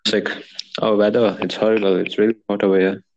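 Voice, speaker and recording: A man's voice: fairly low pitch, level tone, fairly quick, darkish tone. A nineteen-year-old man from Dubai co-hosting a casual podcast over a call, easygoing and agreeable. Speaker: podcast co-host, engineering student. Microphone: call audio. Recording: dull call audio with faint noise.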